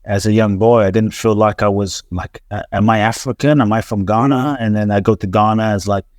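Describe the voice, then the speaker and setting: A man's voice: on the low side, steady tone, brisk, darkish tone, no room sound, clean studio sound. A man who grew up in London, a teacher talking as an interview guest in an earnest, reflective way with many restarts and repeated words. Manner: earnest, repetitive, frequent restarts and stammered repeats. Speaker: transformational teacher, podcast guest.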